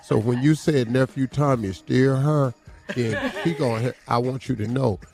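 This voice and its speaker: Man, very creaky gravelly voice, comic radio banter, mock-serious teasing, clear music bed. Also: mid-range voice, normal speed. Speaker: radio show regular.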